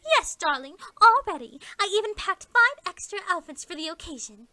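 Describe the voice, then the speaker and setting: Woman putting on a high, affected lady pony. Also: very animated, normal speed, pushed and bright, dry recording, heavily acted. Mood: breezy, affected. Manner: affected, ladylike. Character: fashion-obsessed, genteel female unicorn pony.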